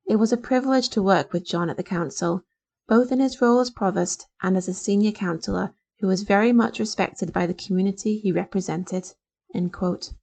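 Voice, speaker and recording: A woman reading local newspaper articles aloud as a volunteer, in a deliberate, formal way. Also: mid-range voice, a little mellow. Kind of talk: scripted news reading for a talking newspaper.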